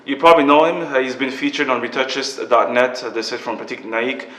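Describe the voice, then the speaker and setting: A man's voice: medium pitch, fairly even intonation, brisk, a bit bright. A young man hosting a podcast interview, upbeat and chatty, with lots of fillers. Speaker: podcast host. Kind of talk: casual interview. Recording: echoey room.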